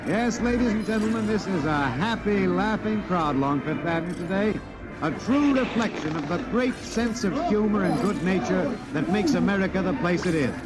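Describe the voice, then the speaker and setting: A high-pitched male radio announcer calls a live parade in vintage broadcast style. He is excitable and formally showmanlike, with loud music behind him on an old radio recording. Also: moderate pace, rough recording. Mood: excited. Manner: hesitant filler words, formal showman's address. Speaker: radio reporter.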